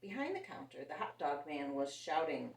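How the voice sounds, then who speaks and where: Animated woman reading a children's story. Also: sits low, moderate pace, vocal fry, recorded from a distance. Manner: animated and expressive, voicing characters. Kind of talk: reading a picture book aloud to children. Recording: roomy sound, set back from the mic.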